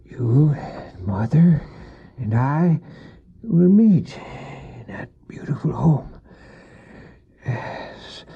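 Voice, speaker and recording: On a dull old cassette, an actor plays a gravely ill father in an earnest radio drama. His voice is husky and gravelly, weak with breath, and he speaks haltingly as a gentle, devout man. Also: a little high, heavily acted. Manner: halting, slow, faltering.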